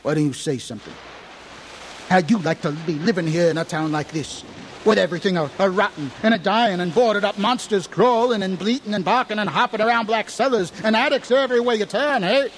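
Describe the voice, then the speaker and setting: A man's voice: high-pitched, a little fast, a little hiss or hum. An actor playing a frightened, half-mad old drunken townsman in an audio horror drama, cackling and rambling in folksy dialect. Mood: raving, hysterical. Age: older.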